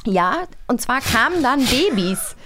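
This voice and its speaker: A woman's voice: on the high side, very animated, a little slow, sharp tone. A woman hosting in casual, improvised chat, telling things playfully with mock certainty. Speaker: host.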